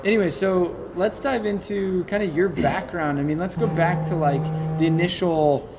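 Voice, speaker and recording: A man hosting a podcast in energetic, joking casual chat with frequent restarts. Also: high-pitched, normal speed, music in the background. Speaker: podcast host.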